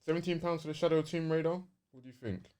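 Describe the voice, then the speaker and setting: A man's voice: on the high side, steady tone, quick pace, darkish tone. A man, explaining things loosely and casually as co-host of a gaming podcast.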